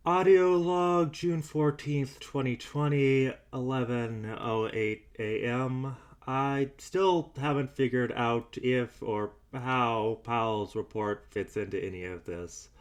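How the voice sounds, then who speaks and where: A man's voice: mid-range voice, takes it slowly, hardly any pauses, natural voice. A man playing a weary, doubtful investigator in an audio drama, dictating private logs in a hesitant, dryly skeptical, musing way. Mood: musing, resigned. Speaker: investigator and show host character.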